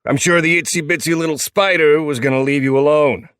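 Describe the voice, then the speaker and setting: A man's voice: a little high, steady tone, fairly quick, a bit bright, clean tone, no echo, studio quality, voice pushed up, lightly acted. A man voicing a joking biker gang member in an audiobook, with sardonic, bantering delivery. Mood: mocking.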